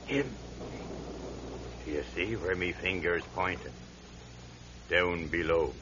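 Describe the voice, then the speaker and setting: An actor playing a sickly, guilt-ridden old Irish drinker in a radio drama, halting and lamenting in an Irish accent. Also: male voice, deep voice. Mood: grave, confessional. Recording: muffled vintage radio recording, faint hum.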